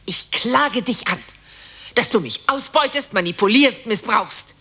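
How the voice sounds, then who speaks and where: Fed up wife in a radio play, bright sharp husky voice, accusing, declamatory. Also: female voice, fairly high pitch, lively intonation, unhurried, exaggerated voice. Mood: bitter, accusing. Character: bitter, accusing wife Simone, fed up with her husband. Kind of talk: radio drama acting.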